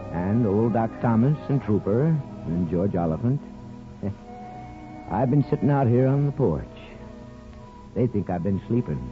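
Muffled vintage radio, wistful old barber. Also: male voice, on the low side. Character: gentle small-town barber, an aging grandfather, reflective and wistful. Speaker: lead actor and narrator. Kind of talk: 1950s radio drama acting. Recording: muffled 1950s radio broadcast, music behind.

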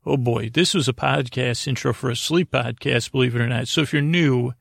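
A middle-aged man with a creaky, gravelly voice hosts a sleep podcast, rambling in a halting, meandering bedtime monologue that keeps interrupting itself. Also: mid-range voice, dry recording, clean studio sound. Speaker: podcast host.

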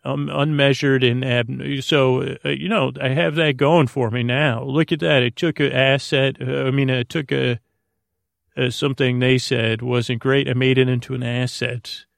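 Rambling man with a creaky, flat voice. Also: mid-range voice, dry recording, studio quality. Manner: halting, repetitive, self-interrupting, digressive; goofy, self-deprecating. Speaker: podcast host. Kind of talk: rambling, meandering bedtime monologue to help listeners fall asleep.

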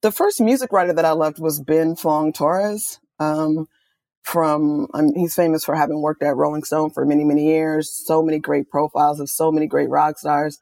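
Lively storytelling woman, dry close sound. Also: sits low, normal speed, dry recording. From Oakland, California. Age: middle-aged. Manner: enthusiastic, animated storytelling with quoted asides. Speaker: guest author and music journalist. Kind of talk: conversational interview.

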